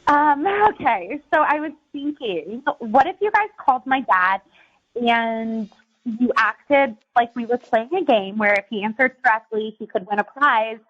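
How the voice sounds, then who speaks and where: Young woman, American accent, high pitch, hesitant casual caller, filler words, dull lo-fi sound. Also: lively intonation, measured pace. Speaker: caller to a radio show. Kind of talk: casual conversation.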